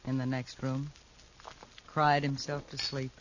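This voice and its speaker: A woman's voice: a bass voice, little pitch movement, unhurried, a little hiss or hum. An actress playing a worldly, protective woman who runs dance hall girls, coaxing and wry, in a 1950s radio drama.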